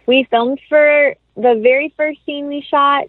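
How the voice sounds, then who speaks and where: A woman's voice: high-pitched, fairly even intonation, measured pace, no room sound, phone-quality sound. A woman chatting casually and informally as an actress being interviewed, rambling as she explains. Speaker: interview guest, film actress.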